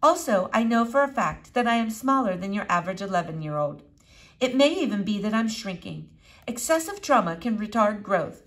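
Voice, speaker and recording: A woman's voice: mid-range voice, big pitch swings, brisk, fairly bright tone. A woman voicing a pompous, long-winded eleven-year-old boy with oddly formal, precise speech in a children's audiobook. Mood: formal, matter-of-fact.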